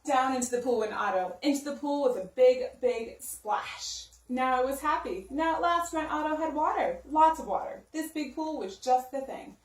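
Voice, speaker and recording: A woman's voice: sits high, expressive, moderate pace, hardly any pauses. A woman narrating a children's storybook expressively, with simple, emphatic phrasing for young listeners. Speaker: story-time reader. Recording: roomy sound, distant microphone.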